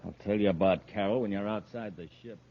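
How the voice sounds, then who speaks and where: Low-pitched gruff male henchman played by a man, sardonic and menacing, vintage radio serial, muffled with some noise. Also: fast, close to an everyday voice. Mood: cold, taunting. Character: tough, sneering space-outlaw henchman. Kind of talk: 1950s radio drama acting. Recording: muffled old radio recording, background noise.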